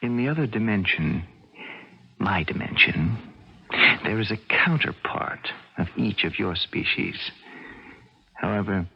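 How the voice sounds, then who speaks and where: An actor playing a cool, menacing young double from another dimension in a radio drama, with a measured, smug manner. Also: male voice, on the low side, expressive, gravelly, husky, exaggerated voice. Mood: detached, explanatory. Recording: muffled vintage radio drama recording.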